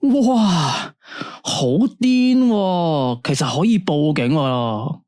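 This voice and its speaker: A man's voice: a little high, expressive, extremely slow, darkish tone, dry recording, clean studio sound. A man playing a soothing late-night radio host. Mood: calm. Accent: Hong Kong Cantonese. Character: male late-night radio phone-in host, calm and advising. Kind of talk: radio drama acting.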